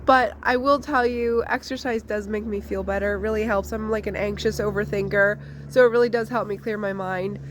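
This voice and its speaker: A woman's voice: mid-range voice, steady tone, brisk, few pauses, slight background noise. Chatty woman with a stuffy nose. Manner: chatty, candid, with frequent fillers. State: congested from a flu. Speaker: vlogger. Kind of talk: casual personal life update.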